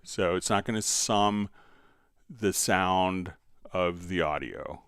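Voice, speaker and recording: A man hosting a live software tutorial, explaining step by step and informally thinking aloud as he demonstrates. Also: deep voice, takes it slowly, clean tone, no room sound, clean studio sound. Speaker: software instructor and livestream host.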